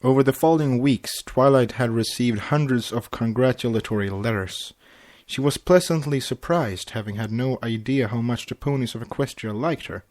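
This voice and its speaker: A man's voice: medium pitch, normal speed, few pauses, darkish tone. Man co-hosting a podcast. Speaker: podcast co-host and story reader. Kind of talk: casual chat and fan fiction read-aloud.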